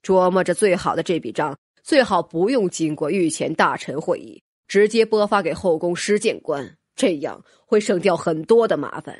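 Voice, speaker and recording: Man acting an obsequious court minister, husky. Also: sits high, animated, normal speed, few pauses, no room sound, clean studio sound. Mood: deferential, ingratiating. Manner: deferential, formal, wordy. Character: obsequious scheming male court minister reporting to the empress. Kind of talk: audiobook character acting.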